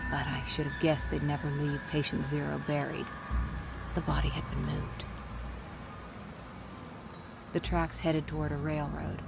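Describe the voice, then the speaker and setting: Low, gravelly weary woman survivor. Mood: grim, measured. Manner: grim, world-weary, measured storytelling. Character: weary, tough lone woman survivor of a zombie apocalypse. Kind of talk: scripted cutscene narration in a video game. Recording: loud music under the voice. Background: loud music.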